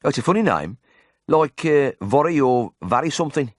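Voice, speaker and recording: Man putting on an anxious young Birmingham lad, pitched up. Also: sing-song, normal speed, no room sound, studio quality. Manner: blunt, earnest, confiding. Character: naive anxious young working-class lad, a hospital patient. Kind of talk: audiobook character voice.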